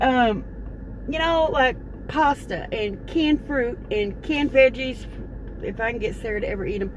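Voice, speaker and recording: A middle-aged woman, chatting casually and rambling with lots of fillers to her phone camera in a parked car. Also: medium pitch. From Texas. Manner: chatty, meandering, folksy with many fillers. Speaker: vlogger. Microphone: phone camera at close range. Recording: car interior with noticeable background noise.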